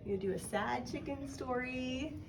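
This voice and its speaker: Woman, fairly high voice, teacher, encouraging prompts, casual guidance, faint room noise. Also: measured pace. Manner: encouraging, explains by prompting with questions. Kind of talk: one-on-one writing lesson, casual and guiding.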